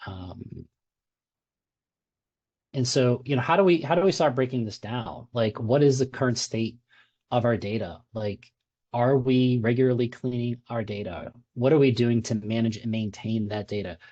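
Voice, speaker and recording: A man's voice: mid-range voice, normal speed, darkish tone, muffled recording. A man with a Boston accent giving a conversational presentation at a user group meetup, with frequent fillers and restarts.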